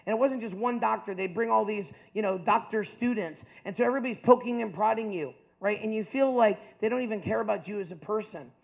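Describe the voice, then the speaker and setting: A man in his fifties from the United States with a notably high voice tells his life story to a crowd. He speaks earnestly and conversationally, tossing in "like" and "right?" as he goes. The sound is thin and narrow, like a phone line. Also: fast talker, creaky, hardly any pauses. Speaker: speaker giving a personal testimony. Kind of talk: personal testimony talk to an audience.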